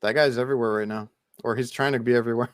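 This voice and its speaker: Male podcast host, smooth clear voice, dry close sound, easygoing questions. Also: mid-range voice, fairly even intonation, rapid-fire, no room sound. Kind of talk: casual podcast chat.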